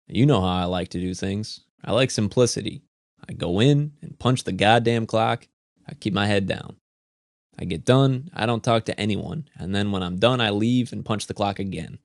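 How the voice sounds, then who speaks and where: A man plays a nervous laborer who gripes in a rambling run about keeping his head down. The voice stays low and gravelly, dry and close. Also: normal speed, no room sound. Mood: griping. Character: nervous male laborer who keeps to himself.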